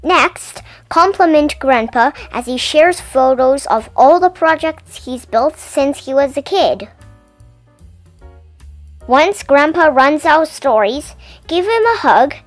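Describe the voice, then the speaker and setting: A woman hosting her own channel, reading a children's picture book aloud with gentle, clear enunciation and playful emphasis. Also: high-pitched, lively intonation, slow, no echo, faint music behind. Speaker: storybook reader and channel host.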